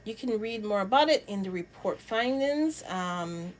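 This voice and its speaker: A woman from Barbados presents casual commentary as a channel host. She speaks at a middling pitch in a halting manner, restarting phrases and repeating words as she makes her points or reads aloud. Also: animated, rough recording. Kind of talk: casual vlog commentary, reading statements aloud.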